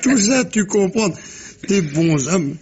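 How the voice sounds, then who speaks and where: An actor playing a very old, sly sailor in a French radio mystery drama, with a husky, wheezy voice and a halting storyteller's delivery. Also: male voice, high-pitched, lively intonation, a little mellow, a little hiss or hum. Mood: animated, conspiratorial. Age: 80s. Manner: halting, chuckling, salty storyteller with hums and asides.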